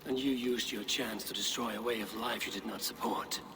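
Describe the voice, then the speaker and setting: An actor playing a grim, commanding Viking warrior in a video game, terse and stern. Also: male voice, fairly low pitch, steady tone, brisk, lightly acted. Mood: grave. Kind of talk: dramatic video game acting. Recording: long reverb, loud background noise.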